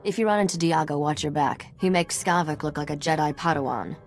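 An actress playing a shrewd, secretive treasure hunter in a video game, cool, confident and knowing. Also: female voice, deep voice, brisk, faint music behind. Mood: sly, businesslike. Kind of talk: video game dialogue acting.

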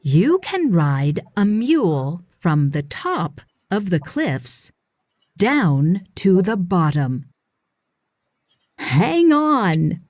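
Woman narrator for children's nonfiction read-along; extremely slow, animated intonation, dry studio sound. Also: lowish voice, no room sound. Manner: deliberate, expressive phrasing for young readers. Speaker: audiobook narrator.

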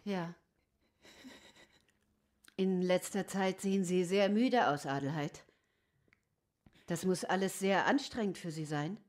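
A caring lady of a well-off household in a radio drama. Her woman's voice is on the low side, gentle and polite. She coaxes with quiet concern. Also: slow, long pauses. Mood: gentle, reassuring. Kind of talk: German radio drama acting.

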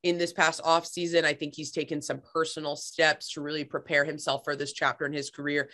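A young woman on a video call from her living room, a podcast guest talking sports in a lively, playful, teasing way. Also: low-pitched. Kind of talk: casual sports chat.

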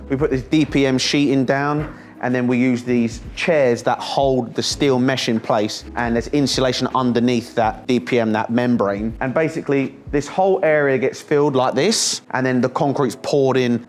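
Chatty enthusiastic man in his thirties. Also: mid-range voice. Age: 30s. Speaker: vlog host. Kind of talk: casual walkthrough vlog. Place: warehouse under construction, indoors and on roof.

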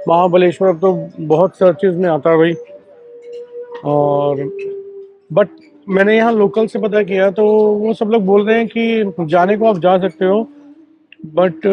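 A man chatting casually to viewers as a travel vlogger, often with a friendly "so, sir" filler, in a hotel breakfast area on a camera mic. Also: high voice. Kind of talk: casual travel vlog chat. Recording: dull lo-fi sound with faint music.

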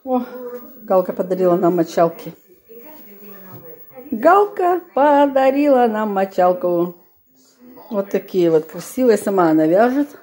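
A woman's voice: lively intonation, measured pace, pauses often, a little mellow. An older woman chatting casually as a vlogger, rambling to herself in a folksy, exclaiming way with playful diminutives. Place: shop, bus, snowy street, flat. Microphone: handheld phone. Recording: noisy handheld recording with vehicle rumble.

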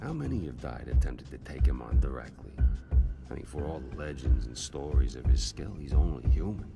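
An actor playing a bitter, vengeful crime lord and grieving father in a video game, gravelly, brooding and menacing. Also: extremely low pitch, lively intonation, a little fast, lo-fi sound, exaggerated voice. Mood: cold, scornful. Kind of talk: dramatic video game acting. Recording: music behind the voice.

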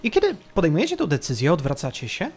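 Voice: mid-range voice, huge pitch swings, fast, a little mellow, slightly rough, faint music behind. A game master narrating a tabletop role-playing game.

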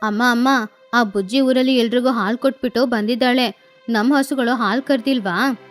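A woman's voice: a little high, fairly even intonation, moderate pace, soft background music, close to an everyday voice. A woman voicing a lazy, whiny boy crow who complains to his mother.

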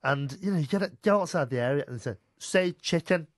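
A man's voice: on the high side, expressive, fairly quick. A middle-aged man from Merseyside with a light Scouse accent, presenting a radio show and chatting to a guest with plenty of fillers. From Merseyside, England. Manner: chatty, warm upbeat delivery with many fillers. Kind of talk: radio interview chat. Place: radio studio. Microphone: studio mic, headphones.

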